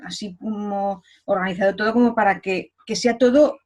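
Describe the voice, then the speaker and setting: A woman hosts a conversational interview. Her voice sits at a middling pitch, and she muses out loud, softening each point with hedges. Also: steady tone, normal speed, lo-fi sound. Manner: reflective, hedging with "yo creo". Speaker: interview host.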